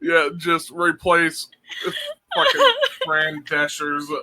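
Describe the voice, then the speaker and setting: Podcast co-host: young man, nasal, slightly raised pitch, halting and blunt. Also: huge pitch swings, very noisy. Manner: halting, with many "uh" fillers, blunt. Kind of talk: casual chat.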